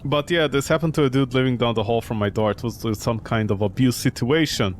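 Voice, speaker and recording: A man reads out a tough apartment tenant in a horror game, wary of everyone. He talks in blunt, slangy bursts, with a faint rumble behind. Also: brisk, close to an everyday voice.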